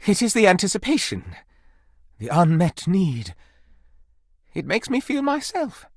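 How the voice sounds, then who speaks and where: A man's voice: high-pitched, wildly swooping pitch, a little slow, no echo, clean studio sound, exaggerated voice. A man voicing a flamboyant, lustful living candelabra in a video game, with florid, pompous, innuendo-laden diction and theatrical melodrama. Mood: wistful, seductive.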